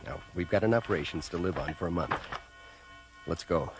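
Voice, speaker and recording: An actor in a science fiction audio drama playing a resolute, practical man leading an escape, brisk and commanding. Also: deep voice, music in the background, rough recording. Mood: urgent, firm.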